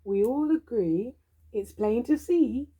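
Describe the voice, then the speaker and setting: Female storybook reader for young children: theatrical, expressive, unhurried, with a breathy, husky voice. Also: on the high side, dull audio. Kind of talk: expressive children's read-aloud.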